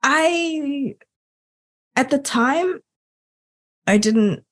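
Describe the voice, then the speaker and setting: Lively-voiced woman telling stories. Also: sits high, extremely slow. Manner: animated, acts out her own quoted thoughts. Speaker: podcast guest, Enneagram coach. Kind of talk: casual conversational storytelling.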